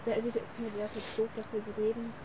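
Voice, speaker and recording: A young woman with a slightly high voice chats casually as an interviewer. She rephrases haltingly, and the outdoor recording is clearly noisy. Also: monotone. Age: young adult. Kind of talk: casual chat. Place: outdoors on a park bench by a forest.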